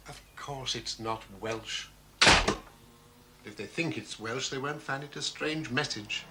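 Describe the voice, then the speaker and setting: An actor playing a scheming shopkeeper who talks down to children in a patronising, smooth way. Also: male voice, mid-range voice, takes it slowly, heavy background noise, lightly acted. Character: scheming shopkeeper man feigning kindness. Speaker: villain.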